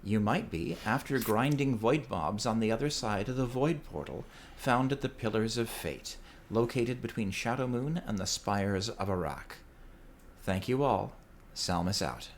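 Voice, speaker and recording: A man with a fairly low voice reads a scripted segment of gaming tips. His delivery is droll and measured, with faint ambient noise behind him. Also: lively intonation. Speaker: podcast tips segment contributor.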